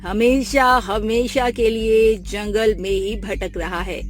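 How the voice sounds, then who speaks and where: A woman's voice: medium pitch, fairly even intonation, slow pace, faint music behind, natural voice. A woman playing a mysterious, menacing forest guardian spirit in a cartoon story, theatrical, ominous and commanding. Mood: warning, ominous.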